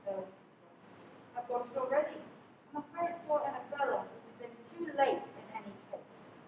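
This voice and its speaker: A woman's voice: expressive, normal speed. A young woman acting a suave, menacing professor and secret spy in a radio play, polite and coolly threatening. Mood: smoothly menacing. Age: teenager. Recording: echoey, distant livestream with loud rumbling noise.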